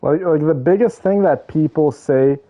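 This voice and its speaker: A man's voice: a little high, normal speed. A man giving informal, opinionated reaction commentary while playing a game, in a conversational style. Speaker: game streamer. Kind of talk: casual reaction commentary over gameplay. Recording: slightly dull audio, game music behind.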